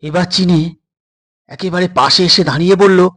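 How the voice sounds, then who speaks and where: A man's voice: sits high, moderate pace, a bit bright. A man narrating a suspense story as a storyteller, in a dramatic scripted style.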